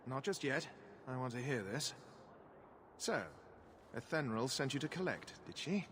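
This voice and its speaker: A man's voice: mid-range voice, expressive, measured pace, fairly bright tone, slight background noise. A man voicing a shrewd smuggler businessman in a video game, bargaining in a dry, businesslike tone.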